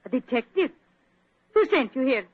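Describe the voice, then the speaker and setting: High, haughty, hostile sculptress. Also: female voice, very animated, normal speed. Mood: cold, defiant. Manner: curt, indignant, haughty. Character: hostile, imperious Swedish woman sculptor. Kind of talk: 1940s radio drama acting. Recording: noisy, muffled, crackly 1940s radio recording.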